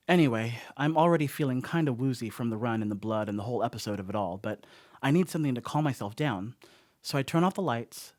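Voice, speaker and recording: An actor playing a rattled, wry slacker who rambles through a confession in a horror comedy radio play. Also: male voice, mid-range voice, dry recording, clean studio sound, close to an everyday voice. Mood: rambling, sheepish.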